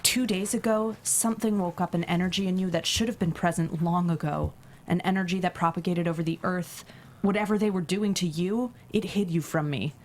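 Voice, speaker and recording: A woman playing a calm, eerie non-human being in human form, with formal, precise diction, in a dramatic script table read. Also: fairly low pitch, a little fast, a little hiss or hum, natural voice. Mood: grave, insistent.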